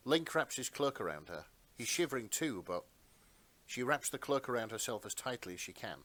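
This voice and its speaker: A man narrating fan fiction in a dramatic storybook style with theatrical, deliberate phrasing. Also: medium pitch, sing-song, a bit bright.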